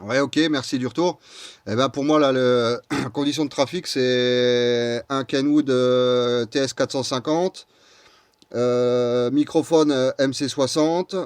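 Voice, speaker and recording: A man's voice: mid-range voice, steady tone, slow pace, a little mellow, clean tone, no room sound. A man chatting casually and colloquially as an amateur radio operator into a close microphone. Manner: informal, colloquial.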